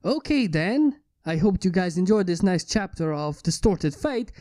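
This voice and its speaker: A woman's voice: fairly low pitch, sing-song, unhurried, mellow, dry recording. A woman reading a scripted fan fiction story as its narrator. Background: background music.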